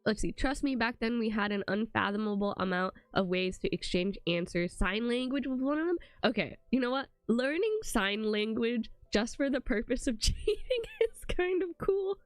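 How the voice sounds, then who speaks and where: A woman's voice: a little high, sing-song, normal speed, hardly any pauses, no echo, faint music behind. Congested young woman, rambling stream chat. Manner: rambling, full of "like" and "um". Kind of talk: casual chat to a live stream.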